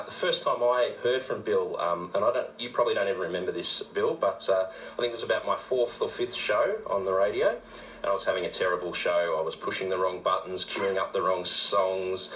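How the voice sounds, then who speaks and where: An Australian man working as a radio presenter, giving an informal on-air tribute with a halting delivery. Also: deep voice, a bit bright. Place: radio studio. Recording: roomy studio with faint noise.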